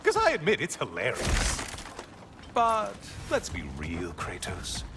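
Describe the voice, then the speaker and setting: A man's voice: on the high side, huge pitch swings, takes it slowly, exaggerated voice. A man playing a smug, mocking god in a video game, needling his foe with theatrical sarcasm. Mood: accusing, smug. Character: smug, mocking male god, a taunting tormentor. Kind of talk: cinematic video game acting. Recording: music behind.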